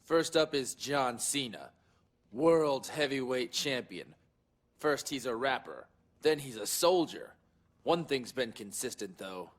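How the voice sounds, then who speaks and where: Vain, smug wrestler, medium pitch. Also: male voice, animated, slow pace. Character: vain, smug young male wrestler boasting and sneering. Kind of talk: trash-talking wrestling promo.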